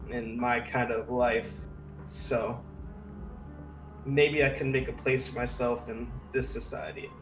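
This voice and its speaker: A man playing an earnest, insecure male underdog in a tabletop game, confiding haltingly, recorded in a roomy space. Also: mid-range voice, lightly acted. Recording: roomy, slightly distant microphone.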